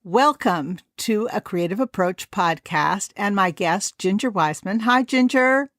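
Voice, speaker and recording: A woman's voice: mid-range voice, lively intonation, measured pace, dry recording, studio quality. A woman hosting a podcast interview, chatty and asking long wordy questions. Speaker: podcast host.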